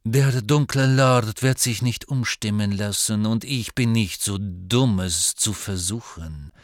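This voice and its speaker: A man voicing a guarded, commanding wizard in an audiobook, with a measured, aloof and emphatic manner. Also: medium pitch, expressive, dry recording, studio quality.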